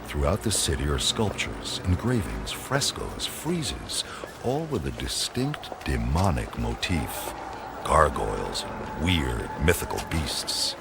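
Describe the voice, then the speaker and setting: A man's voice: very deep voice, sing-song, slow pace, creaky, heavy background noise, exaggerated voice. A man narrating a radio play from a script in a portentous, atmospheric storytelling manner.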